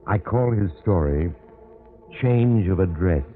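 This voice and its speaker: A man's voice: low voice, animated, slow pace. A man playing a genial but sinister mysterious traveler, narrating an old radio mystery in a wry, theatrical manner. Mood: ominous, inviting. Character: mysterious male train traveler, genial, sinister teller of macabre tales. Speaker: narrator and host of mystery drama. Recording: muffled, noisy old radio recording.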